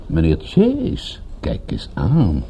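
A man's voice: very deep voice, huge pitch swings, extremely slow, dark tone, slightly rough, full character voice. A man playing a wry private detective in a radio play, with a dry, laconic delivery, at times narrating his own case. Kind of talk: radio play acting with first-person narration. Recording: dull, lo-fi radio play recording.

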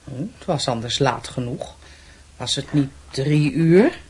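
An actress playing a middle-aged aunt in a radio drama, proper and mildly disapproving, with brisk, pointed questions. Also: female voice, rumbling low, darkish tone, slight background noise.

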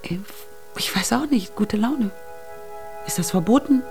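Evasive woman with creaky, lively voice. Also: on the high side, measured pace, soft background music. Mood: evasive, lighter. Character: evasive, offhand woman, curtly deflecting questions. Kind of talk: German radio drama acting.

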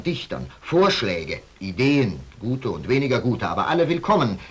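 A man narrating a 1950s documentary film in formal, emphatic over music. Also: mid-range voice.

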